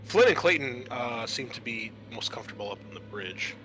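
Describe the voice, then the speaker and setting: A man hosting a tabletop roleplaying game as game master, narrating off the cuff and pausing to think aloud. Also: medium pitch, animated, bright, forceful tone, slight background noise, low-quality recording.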